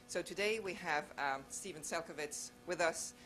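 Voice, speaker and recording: A woman with a French accent, a native French speaker, hosting a seminar and introducing a speaker in a halting, formal way in a lecture room. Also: lowish voice, fairly bright tone, faint music behind, poor audio. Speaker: seminar host.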